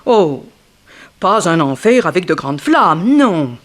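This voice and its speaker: A bitter former ballerina in an old French radio whodunit. Her voice swings widely from slow, loaded emphasis to sharp outbursts. She is theatrical and insinuating, with a cutting tongue. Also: on the low side, sing-song. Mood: sardonic, gossiping. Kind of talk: French 1960s radio detective drama acting.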